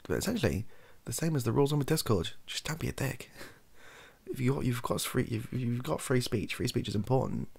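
Very deep, gravelly British young man. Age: young adult. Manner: rambling, dry, offhand humour. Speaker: host of a comedy ASMR channel. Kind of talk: improvised soft-spoken monologue, casual chat. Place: small cluttered room indoors.

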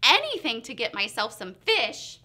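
A woman's voice: fairly high pitch, wildly swooping pitch, normal speed, pushed and bright, heavily acted. A woman telling a children's story, voicing a gullible, good-natured male bear with a simple, trusting manner. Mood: trusting, eager.

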